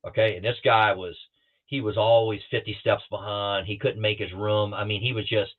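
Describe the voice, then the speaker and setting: A man telling rambling, animated pilot stories on a talk show, with many restarts and acted-out quotes, heard over a remote call. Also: on the low side, normal speed. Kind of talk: casual storytelling on a talk show. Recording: tinny phone-like line.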